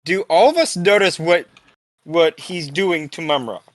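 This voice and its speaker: A man's voice: fairly high pitch, measured pace. A man playing a tabletop roleplaying game, chatting casually.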